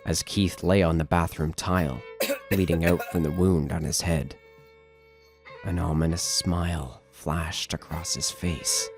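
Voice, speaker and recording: A man narrating a scripted horror story in a measured, dramatic storytelling manner. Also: low voice, steady tone, darkish tone. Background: music.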